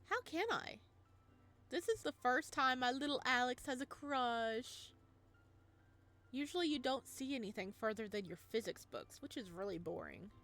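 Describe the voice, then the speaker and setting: A woman's voice: on the high side, animated, moderate pace, fairly bright tone, faint music behind. A woman voicing a teasing, playful young librarian who is an old friend of the hero, read from a visual novel. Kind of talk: reading a visual novel aloud.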